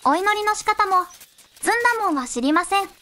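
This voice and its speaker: A young-sounding synthetic woman narrating a fairy tale in measured storybook phrasing. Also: very high voice, lively intonation, pushed and bright, a little hiss or hum.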